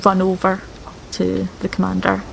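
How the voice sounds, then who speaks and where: A woman's voice: medium pitch, monotone, moderate pace, vocal fry, a little hiss or hum, poor audio. A woman playing a tabletop game, matter-of-fact and wry. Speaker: player. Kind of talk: casual tabletop play.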